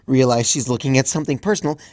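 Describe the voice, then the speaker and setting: A man voicing a frazzled, bookish young female pony scholar who fusses and quickly flies into a panic. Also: darkish tone, dry recording, close to an everyday voice. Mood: anxious. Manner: high-strung, rushes and fusses, flies into panic.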